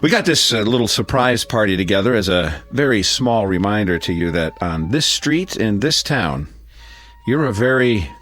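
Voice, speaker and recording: An American actor playing a genial middle-aged neighbor giving a folksy, hesitant, sentimental toast in a radio drama. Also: male voice, lowish voice, animated, normal speed, a bit bright. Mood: affable teasing. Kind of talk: radio drama acting, party speech.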